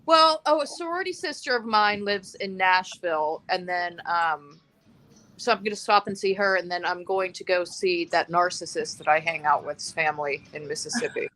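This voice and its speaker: A woman chatting casually on a live stream from an airport gate, animated and quick to laugh. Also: fairly low pitch, poor audio. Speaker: guest.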